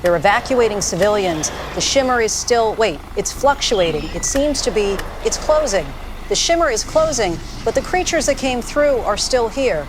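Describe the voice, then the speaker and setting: A woman playing a shaken TV news correspondent in an audio drama, reporting live in a halting way. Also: on the high side, lively intonation, noisy background, natural voice. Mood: alarmed. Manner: halting, stammering, disbelieving. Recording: faint vehicle and outdoor ambience.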